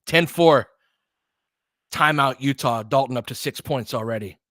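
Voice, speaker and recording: A man's voice: medium pitch, unhurried, no echo, clean studio sound. Chatty man in his forties calling a game. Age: 40s. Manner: chatty, excitable, thinks aloud. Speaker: play-by-play host. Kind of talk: casual fan play-by-play commentary.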